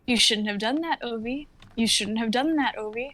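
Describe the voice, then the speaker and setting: Cold, high-voiced woman playing a laboratory AI. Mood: impassive. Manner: flat, measured, robotic announcements. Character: female laboratory AI, cold, obedient, impassive. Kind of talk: live dramatic script reading.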